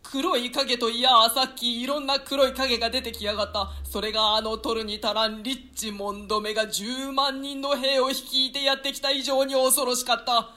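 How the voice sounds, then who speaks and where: A man's voice: extremely high pitch, fairly even intonation, normal speed, few pauses, pushed and bright. An actor playing a guilt-ridden villain king, delivering an anguished theatrical monologue in a staged radio-play reading. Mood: uneasy.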